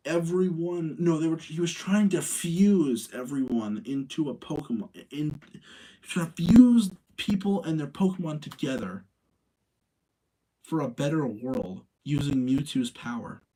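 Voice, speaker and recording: A man hosting a livestream, talking casually in an informal, rambling, slangy way with a husky voice. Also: fairly high pitch, animated, a little slow, warm tone, rough recording. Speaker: livestream host. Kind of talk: casual livestream chat and commentary.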